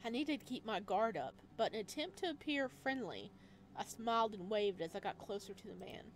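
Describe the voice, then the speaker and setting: A woman doing a let's play, reading story text aloud and adding expressive, chatty reactions in a casual style. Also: mid-range voice, fairly quick, faint music behind. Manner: expressive, chatty asides between read passages.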